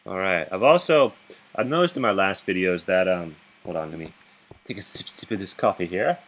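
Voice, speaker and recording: A man with a very deep voice giving rambling gameplay commentary from his bed into a laptop microphone, chuckling often and restarting his sentences. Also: expressive, a little fast, fairly bright tone. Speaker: gaming video host. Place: bedroom, sitting up in bed.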